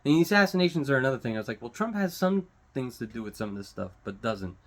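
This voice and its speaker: A man's voice: mid-range voice, big pitch swings, quick pace. An American man giving an unscripted, blunt opinion rant, swearing heavily and repeating phrases for emphasis. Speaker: vlogger giving commentary.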